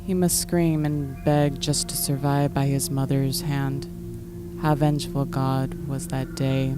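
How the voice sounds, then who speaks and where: A chilling, low female voice reads a killer's letter from a tape in a horror radio drama. She speaks slowly in a flat, accusing drone, with music running underneath. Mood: menacing. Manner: slow, flat, accusing recital. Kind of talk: audio drama acting.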